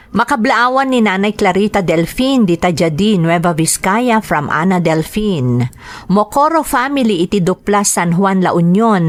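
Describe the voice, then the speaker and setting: A woman's voice: on the low side, no echo. A woman with a Filipino accent hosting a story program, reading scripted greetings and narration in an announcing style. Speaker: radio drama host reading greetings and letters.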